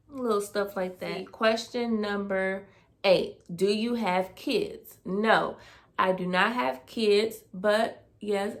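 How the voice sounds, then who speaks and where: Slow easygoing girl in her 20s, chatting thru a q&a tag, lots of ums. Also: mid-range voice. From Dayton, Ohio, US. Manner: relaxed, thinks aloud with fillers. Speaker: vlogger. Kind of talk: casual Q&A tag video.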